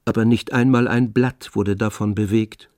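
A man's voice: on the low side, fairly even intonation, moderate pace. Grave male radio drama narrator.

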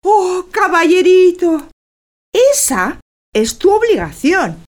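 Radio drama, actress as coquettish young bride, swooping intonation, slow cooing delivery, faint background noise. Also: female voice, very high-pitched, sing-song, darkish tone, exaggerated voice. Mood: coy, teasing. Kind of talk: stage-like radio drama acting.

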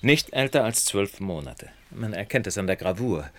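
An actor playing a shrewd, self-assured detective in a radio drama, speaking in a knowing, deliberate way. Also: male voice, lowish voice, lively intonation, close to an everyday voice. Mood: confident deduction. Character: famous male detective, shrewd, self-assured, gently superior. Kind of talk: German radio drama acting.

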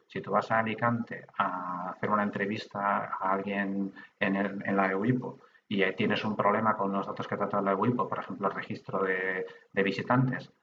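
A man's voice: on the low side, dull audio, noisy background. A middle-aged man the Basque Country, a podcast guest who explains things in a conversational way, with many restarts and hesitations. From Basque Country, Spain. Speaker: guest, data protection official. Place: remote from his own room.

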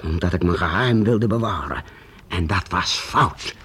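An actor playing a weary, earnest old master painter in a Dutch radio play, speaking haltingly with repeated words and archaic formal address. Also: male voice, low voice, a bit bright, a little hiss or hum. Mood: confiding, rueful. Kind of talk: Dutch radio drama acting.

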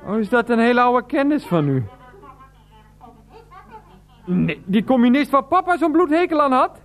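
A man's voice: extremely high pitch, expressive, moderate pace. A man playing a flustered adult son who stammers and keeps getting exasperated with his mother on the phone, in a 1990s radio play. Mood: bewildered. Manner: stammering, exasperated, bursts into laughter. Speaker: character in a radio drama.

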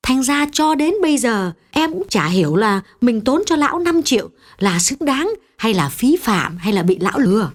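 A man voicing a prosperous, anxious middle-aged woman shop owner in a chatty, hesitant falsetto. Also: sing-song, normal speed, hardly any pauses, exaggerated voice. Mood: doubtful.

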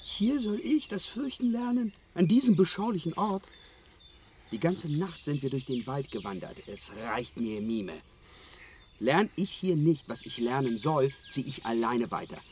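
An actor playing a brash, headstrong young hero in a fantasy radio play, with a theatrical way of talking. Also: male voice, fairly high pitch, lively intonation, unhurried, darkish tone, a little hiss or hum. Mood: impatient. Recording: radio play with prominent music underneath.

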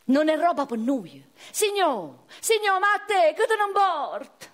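A woman's voice: very high-pitched, sing-song, unhurried, pitched above the performer's own voice, full character voice. A woman voicing a coarse, fatalistic Neapolitan streetwalker in earthy, mocking dialect for a radio play. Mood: brash, mocking.

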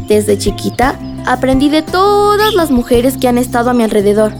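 An eager, loving ten-year-old girl with a Mexican accent, played by a woman. Her voice is high and earnest, as in a radio drama, with clear music in the recording. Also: animated, moderate pace, natural voice. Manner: earnest, affectionate.

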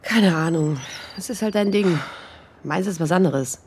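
A woman's voice: on the low side, measured pace, a little mellow, slight background noise, lightly acted. An actress playing a blunt, loyal best friend in her thirties in a naturalistic radio drama, colloquial and teasing. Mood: frank.

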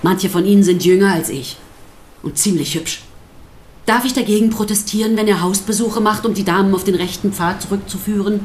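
A woman playing a bitter, disillusioned pastor's wife with measured, sardonic phrasing in a naturalistic radio drama. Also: medium pitch, darkish tone, slight background noise, close to an everyday voice. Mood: bitter, wry.

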